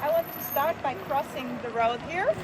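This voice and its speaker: A woman from Norway, guiding a walking tour outdoors at a harbor, halting and full of filler sounds. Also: high voice. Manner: halting, many filler sounds, loose grammar. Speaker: tour guide. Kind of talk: guided walking tour talk. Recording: loud outdoor street noise.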